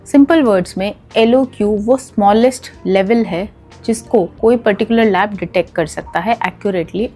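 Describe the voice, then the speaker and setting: An Indian woman presents a health explainer in a calm, measured manner. Her voice sits at a medium pitch for a woman and she slips English technical terms into her explanations. Soft background music plays faintly behind her. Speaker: health video host.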